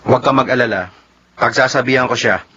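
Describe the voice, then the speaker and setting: An actor playing an earnest, soft-hearted Filipino shop owner, worried and reluctant, in a radio drama. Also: male voice, mid-range voice, fast talker, husky. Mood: earnest, pleading. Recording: dull, noisy lo-fi radio audio.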